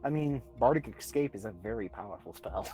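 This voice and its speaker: A man's voice: fairly low pitch, expressive, fairly quick. A man chatting casually and jokingly as a player in a tabletop roleplaying game. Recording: music playing behind the voice.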